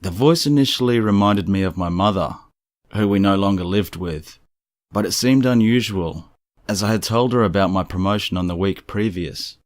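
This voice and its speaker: Deep-voiced man narrating strange stories. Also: normal speed, no echo, clean studio sound. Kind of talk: scripted first-person storytelling narration.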